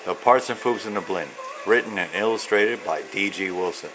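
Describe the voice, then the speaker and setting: A man, an author, presenting and reading a children's storybook in deliberate, measured phrases. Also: sits low, low-quality recording. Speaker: author and illustrator reading own children's book. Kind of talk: read-aloud storytelling of rhyming children's book.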